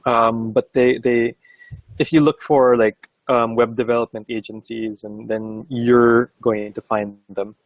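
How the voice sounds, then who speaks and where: Filipino male host, Bisaya native, mid pitch, dry close sound, hesitant casual talk. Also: level tone, a little slow, no room sound, muffled recording. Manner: casual, hesitant, many fillers. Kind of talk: live interview stream.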